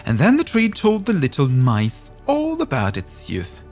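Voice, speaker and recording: A man narrating a scripted fairytale as an expressive storyteller. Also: a little high, measured pace, soft background music.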